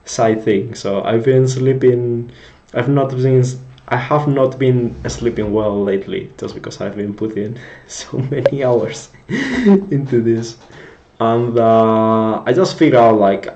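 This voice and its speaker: A man's voice: mid-range voice, slow, dark tone, muffled recording. A young man from Spain with a Spanish accent, chatting casually on a live stream and restarting his words often. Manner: rambling, many restarts and repeated words, laughs often. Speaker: streamer, backend developer.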